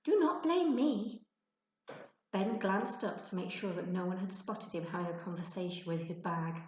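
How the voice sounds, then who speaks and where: A woman giving an expressive children's storybook narration, like a teacher reading to her class. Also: fairly low pitch, brisk, lo-fi sound, a little hiss or hum. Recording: echoey untreated room.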